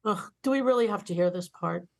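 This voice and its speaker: A woman's voice: medium pitch, quick pace, gravelly, lightly acted. A woman reading the part of a blunt, sarcastic young female starship officer in a comedic table read. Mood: mocking. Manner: sarcastic, exclamatory.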